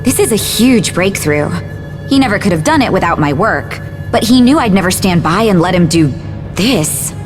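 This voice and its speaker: A woman's voice: medium pitch, animated, moderate pace, over music, close to an everyday voice. An actress playing a clever, earnest woman scientist in a video game, dry and a little impatient. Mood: earnest, explaining.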